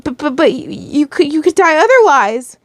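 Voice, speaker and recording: A woman's voice: mid-range voice, expressive, normal speed, darkish tone, no room sound, clean studio sound, natural voice. A woman voicing a terrified young heroine, stammering and pleading in distress. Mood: tearful, pleading. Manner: stuttering, exclaiming in distress.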